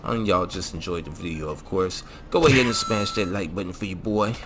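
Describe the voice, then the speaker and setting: Deep-voiced man, casual gaming tutorial host. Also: a little fast. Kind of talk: casual video game mod tutorial commentary. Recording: music behind the voice. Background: music.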